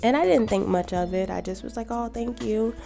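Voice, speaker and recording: Soft-spoken woman with a gravelly edge. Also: fairly high pitch, brisk. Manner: calm and gentle. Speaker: podcast co-host. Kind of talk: reflective personal monologue. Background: music.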